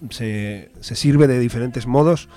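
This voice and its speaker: A man with a Spanish accent from Spain talks as a radio host. His pitch is middling and his delivery is informal and explanatory, feeling its way through points. Music plays faintly behind him. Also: a little slow, dark tone.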